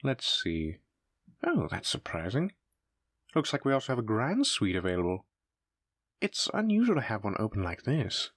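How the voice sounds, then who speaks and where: Man playing a lively, courteous demon hotel receptionist. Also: on the low side, moderate pace, long pauses, dry recording, studio quality. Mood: courteous, persuasive. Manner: polite, formal, effusive hospitality patter. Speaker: hotel front desk clerk. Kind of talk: scripted roleplay audio addressed to the listener.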